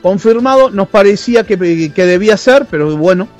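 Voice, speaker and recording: A man's voice: high voice, lively intonation, normal speed, faint music behind. A man with a Uruguayan accent hosting a radio show, chatting casually and reading listener messages with many fillers and restarts. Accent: Uruguayan Spanish. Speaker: radio host.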